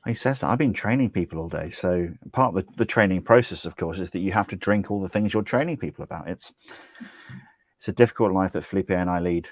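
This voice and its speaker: A man's voice: low voice, quick pace, no echo. A middle-aged man co-hosting a whisky tasting with dry, wry humour, speaking over a video call. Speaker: co-host, drinks ambassador. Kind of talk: casual livestream chat.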